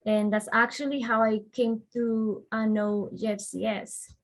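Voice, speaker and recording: Woman, Colombian accent, interview guest, thoughtful, unhurried explaining, soft "uh" fillers. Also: on the high side, little pitch movement. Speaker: guest, director of refugee and immigrant services. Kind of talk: conversational interview answers.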